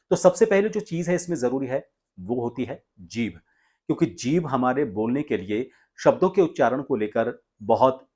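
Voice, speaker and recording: An Indian man working as a voice coach, giving instructions in a deliberate, explanatory way with frequent pauses mid-sentence. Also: medium pitch, a little mellow, slightly rough. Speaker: voice coach and presenter. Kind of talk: instructional tutorial, explaining exercises step by step.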